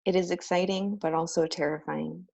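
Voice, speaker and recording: A woman with a slightly low voice speaks earnestly and reflectively. She delivers a heartfelt ordination address in a ceremonial tone. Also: fairly even intonation, moderate pace, gravelly, dark tone. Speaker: ordained minister giving a speech.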